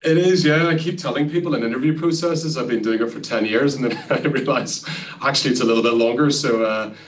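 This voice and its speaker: An Irishman speaking as a guest in a casual interview, relaxed and chatty with frequent fillers. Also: mid-range voice, fast talker, far from the mic. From Ireland. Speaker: guest, sales executive.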